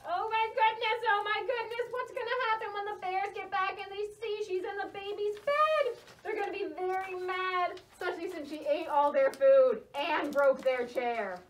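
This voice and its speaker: A woman's voice: very high voice, normal speed, few pauses, far from the mic. A woman voicing an anxious, indignant little mouse in a children's puppet show, fretful and full of exclamations. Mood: panicked.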